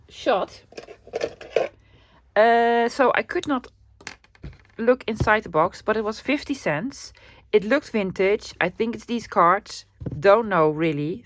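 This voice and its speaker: A woman's voice: medium pitch, slight background noise. Chatty Dutch woman showing thrift finds. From Netherlands. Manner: chatty and upbeat, frequent ums and small laughs. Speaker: crafting vlogger. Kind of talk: casual haul video chat. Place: home room at the end of the day.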